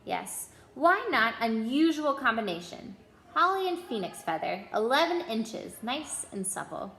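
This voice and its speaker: A woman's voice: on the high side, sing-song, unhurried, creaky, bright, forceful tone, slight background noise, exaggerated voice. Woman acting an eccentric old wandmaker, musing, in an echoey room. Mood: eager, musing. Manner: muttering, musing, repeats words to himself. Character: eccentric old male wand seller, eager and eerie.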